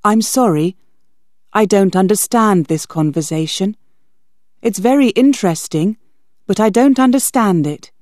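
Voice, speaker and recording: A woman's voice: medium pitch, expressive, a little slow, darkish tone, dry recording, studio quality, natural voice. Earnest, rule-bound young man, polite and slightly stiff, as a woman reads him. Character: earnest, naive young man following rules.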